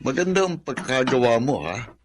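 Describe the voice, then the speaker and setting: A man's voice: mid-range voice, very animated, normal speed, a little hiss or hum, natural voice. A man acting in a radio drama as a kindly, approving middle-aged uncle.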